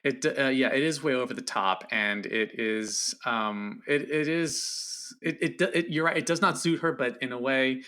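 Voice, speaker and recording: A man speaking as a guest film critic in a casual discussion, halting and repeating words as he goes. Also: mid-range voice, bright, forceful tone.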